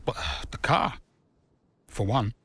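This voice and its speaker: An actor playing a measured former racing team principal giving a documentary-style interview in a game. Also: male voice, mid-range voice, very animated, a bit bright, slightly rough, heavily acted. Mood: reflective.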